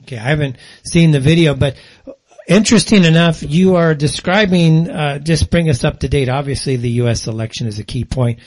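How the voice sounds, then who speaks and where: A man hosting a political talk radio interview, putting wordy, halting questions to a guest. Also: a little high, a little mellow, low-quality recording. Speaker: radio show host, interviewer.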